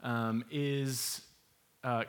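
A man's voice: mid-range voice, extremely slow. Young man, church host, easygoing, presenting slides, lots of ums. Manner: relaxed, many fillers, laughs easily.

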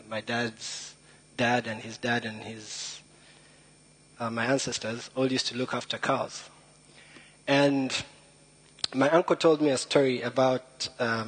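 Husky young man telling stories. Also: medium pitch, poor audio. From Rwanda. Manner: chatty, joking, self-deprecating, fond of puns. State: a bit nervous. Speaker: guest speaker. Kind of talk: informal storytelling talk.

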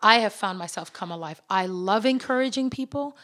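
A woman from the Netherlands, Suriname and Venezuela, a podcast guest in casual, animated chat, laughing often and restarting sentences. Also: mid-range voice, brisk. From born in the Netherlands, raised in Suriname and Venezuela.

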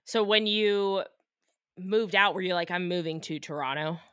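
A woman hosts a podcast and asks curious, teasing questions. Her voice is bright and crisp, and it is recorded close and dry with no room sound. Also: fairly low pitch, normal speed, no echo. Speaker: podcast host. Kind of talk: casual interview chat.

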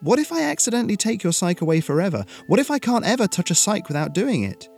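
A man's voice: fairly high pitch, very animated, fairly quick, darkish tone, soft background music, voice pushed up. A man voicing an anxious, frustrated teenage boy in a story. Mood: panicked.

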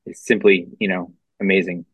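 A man with a low voice talks casually as a podcast co-host. His delivery is halting and full of fillers. The audio is dull and dry, lacking top end. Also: little pitch movement, husky, no room sound. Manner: halting, frequent "you know". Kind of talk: casual chat. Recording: dull, band-limited audio.

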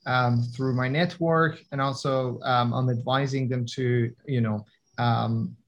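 A young man who is not a native English speaker answers interview questions. His voice sits at a middle pitch, and he talks hesitantly, filling gaps with pauses and fillers. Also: steady tone, lo-fi sound. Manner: hesitant, many fillers and restarts. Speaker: guest, tech entrepreneur. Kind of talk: conversational interview.

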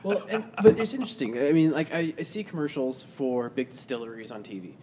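A man hosting a podcast in a loose, rambling casual chat, quick to laugh. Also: medium pitch, lively intonation, darkish tone, a little hiss or hum. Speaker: podcast host.

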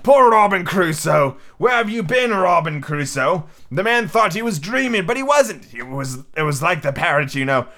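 A man performing an excitable old man, voice raised. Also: high-pitched, moderate pace, few pauses, pitched above the performer's own voice, exaggerated voice. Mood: ecstatic. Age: older. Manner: exclamatory, emotional, half laughing and half crying. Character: Scrooge, excitable old man overcome with wistful nostalgia.

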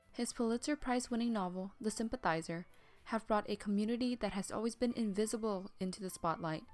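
A woman's voice: medium pitch, darkish tone. A university student in her early twenties, from Vietnam and a native Vietnamese speaker, reading a prepared tribute in an earnest, formal way. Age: early 20s.

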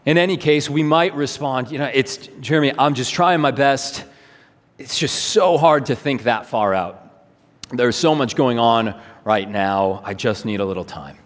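A man's voice: medium pitch, moderate pace. A man preaching a sermon in an earnest, emphatic way, with rhetorical questions and repetition. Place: large hall, preaching to a congregation. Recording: reverberant hall.

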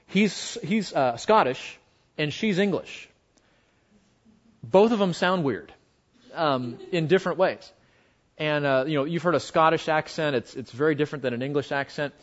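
An American man giving an informal Bible lesson to a group, talking conversationally and haltingly, with frequent asides. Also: fairly high pitch. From United States. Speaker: Bible class teacher. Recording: dull, muffled lo-fi audio.

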